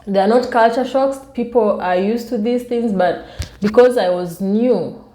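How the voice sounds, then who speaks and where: A soft-spoken woman with a Kenyan accent chats casually to her viewers, telling personal stories in a self-amused way. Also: a little high, unhurried, roomy sound, low-quality recording. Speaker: YouTube vlogger. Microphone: newly bought external microphone.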